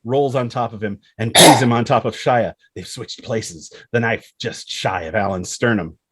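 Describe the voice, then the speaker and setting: A man's voice: medium pitch, moderate pace, a bit bright, husky, dry recording. A man reading stage directions as the narrator of a screenplay table read.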